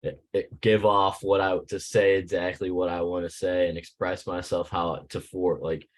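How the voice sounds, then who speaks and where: Young man, student, very low voice, monotone, halting, video call. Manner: low-key, halting, searching for words. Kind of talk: class discussion.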